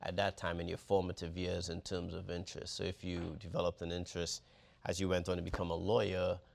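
A man's voice: sits low, completely flat delivery, a little fast, gravelly. A man hosting an interview podcast, asking questions with many fillers and restarts. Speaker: university president, host.

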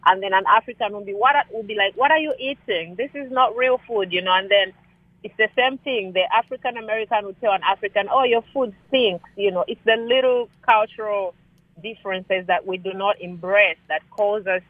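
A woman's voice: mid-range voice, a little fast, no echo. A woman talks conversationally as a caller on a radio show. The phone line makes her voice thin and boxy, with no room around it, as she explains her view through everyday examples. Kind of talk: conversational unscripted commentary. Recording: narrow tinny phone line.

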